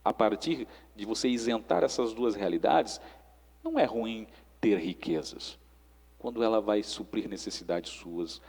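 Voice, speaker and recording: A Brazilian man with a husky, creaky voice talks like a preacher in conversation. He instructs and exhorts, often checking for agreement. His voice trails off in long reverb. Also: on the low side, unhurried, a little mellow. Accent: Brazilian Portuguese.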